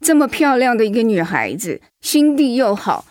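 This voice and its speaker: A woman's voice: on the high side, very animated, normal speed, a little mellow, no echo, clean studio sound. A woman acting a protective middle-aged mother-in-law in a radio drama, outspoken and motherly. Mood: indignant. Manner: indignant, emphatic when provoked, motherly.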